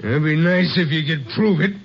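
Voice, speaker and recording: An actor playing a brazen, scornful killer cornered by lawmen in a 1950s radio western. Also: male voice, a little high, moderate pace, husky. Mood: scornful, defiant. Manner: scornful, defiant. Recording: muffled, noisy old radio recording.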